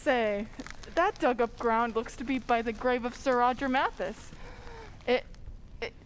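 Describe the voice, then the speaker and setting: A woman's voice: fairly high pitch, measured pace, a little hiss or hum, lightly acted. A woman playing a timid, nervous villager who speaks hesitantly and stammers, in old-time radio style. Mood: hesitant, puzzled.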